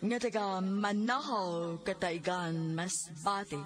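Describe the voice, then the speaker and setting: A Filipino woman with a Cebuano accent announces a radio drama from a script. She speaks slowly in a mid-range voice. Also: medium pitch, fairly even intonation.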